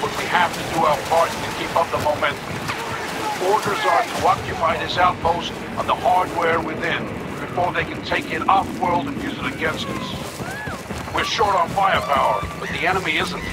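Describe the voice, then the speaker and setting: A man's voice: fairly high pitch, big pitch swings, normal speed, very noisy. A man playing a determined, weary rebel major in a video game, giving urgent, clipped military briefings. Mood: urgent, resolute. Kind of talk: scripted game mission briefing.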